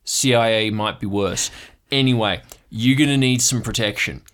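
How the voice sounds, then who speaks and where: A man's voice: fairly low pitch, moderate pace, a bit bright, lightly acted. A man playing a chatty, eccentric, conspiracy-minded uncle who tinkers with boats, rambling and blustery in an improvised audio drama. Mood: practical, paranoid. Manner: rambling, blustery, goes off on tangents.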